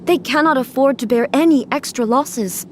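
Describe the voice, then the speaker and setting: A solemn immortal goddess who rules a nation, acted by a woman, speaks in a fairly high, regal voice. Her delivery is formal and restrained, in the manner of an anime fantasy game, over faint ambient noise. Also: moderate pace, lightly acted. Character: solemn, lonely immortal female ruler goddess of eternity.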